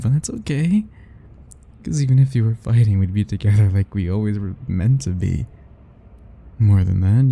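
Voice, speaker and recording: Casting: male performer as obsessive yandere suitor, ASMR roleplay. Low, gravelly murmur, doting and possessive, coaxing. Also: exaggerated voice. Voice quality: soft, husky, breathy. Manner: slow, intimate murmuring, coaxing and self-talking. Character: soft-spoken obsessive yandere man, doting and possessive, young. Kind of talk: ASMR romance roleplay acting.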